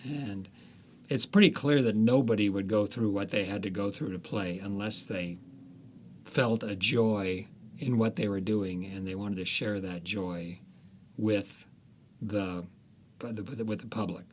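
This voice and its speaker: A man, an author, talking through his ideas in a casual interview, thoughtful and halting, with frequent restarts. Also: fairly low pitch, slight background noise.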